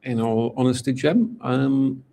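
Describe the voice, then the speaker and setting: A man's voice: mid-range voice, fairly even intonation, a little slow, mellow. A man from England with a Manchester accent, answering interview questions in a chatty way with lots of fillers and restarts. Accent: Mancunian English. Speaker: golden visa specialist.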